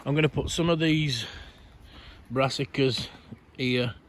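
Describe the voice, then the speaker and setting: A middle-aged man with a croaky, worn-out voice, chatting in a rambling way while he gardens outdoors. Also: mid-range voice, measured pace, a little hiss or hum. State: croaky voice, coughing. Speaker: allotment gardening vlogger. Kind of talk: casual garden update to camera.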